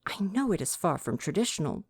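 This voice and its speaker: A man's voice: high-pitched, sing-song, fairly quick, slightly rough, dry recording, studio quality, exaggerated voice. A man playing a prim, devoted royal butler with formal, fretful diction in an ASMR roleplay. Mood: scolding, prim.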